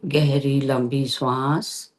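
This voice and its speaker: A meditation teacher leading a guided session, giving gentle instructions with long pauses between phrases. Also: medium pitch, level tone.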